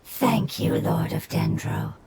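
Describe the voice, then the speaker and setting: A woman voicing a loyal, solemn spirit creature in a video game, breathy and formal in a reverent way. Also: deep voice, takes it slowly, background noise, heavily acted. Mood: grateful, devoted. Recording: loud game music under voice.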